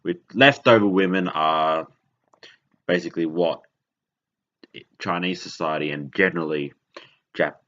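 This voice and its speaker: A man from Indonesia hosting a podcast, talking in a halting, rambling way and often correcting himself. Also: low voice, fairly bright tone. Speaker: podcast host. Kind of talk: casual monologue. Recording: dull lo-fi recording.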